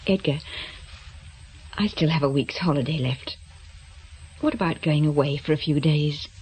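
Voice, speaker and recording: An actress playing a tentative, affectionate British wife with a husky voice in a radio drama. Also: female voice, low voice, moderate pace, darkish tone, poor audio. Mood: tentative, hopeful.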